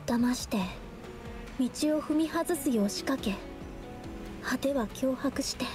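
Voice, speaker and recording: A woman voicing an earnest, gentle young female painter in an anime game, speaking politely and solemnly. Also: high voice, music in the background. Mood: pained, accusing. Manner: polite, solemn, measured speech.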